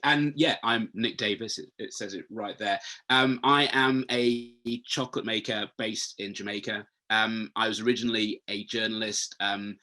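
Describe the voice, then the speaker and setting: Husky-voiced man moderating a webinar. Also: fairly low pitch, bright, forceful tone. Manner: friendly, rambling with restarts. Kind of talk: casual panel chat. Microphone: video call.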